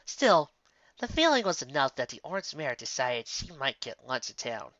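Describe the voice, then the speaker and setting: An amateur reader narrating a fan fiction story in an animated way, close to the microphone. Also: medium pitch, moderate pace, no room sound. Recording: slightly dull, close dry recording.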